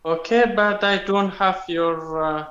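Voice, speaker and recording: A man from Afghanistan with an Afghan accent, giving a personal account in halting, non-native English on a video call. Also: high-pitched, fairly even intonation, a bit bright. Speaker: guest, refugee. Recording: echoey room, muffled video-call audio.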